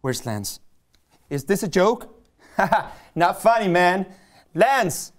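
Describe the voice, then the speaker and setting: An actor reading a panicked young man in a table reading, stammering and shouting in alarm. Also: high-pitched, big pitch swings, slow pace, heavily acted. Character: panicked young man who found a body.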